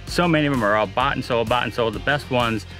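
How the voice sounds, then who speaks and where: A man's voice: medium pitch, fast, pushed and bright. A man talking casually and emphatically about land and hunting, repeating himself as he goes. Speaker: land agent and hunter. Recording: music bed behind the voice.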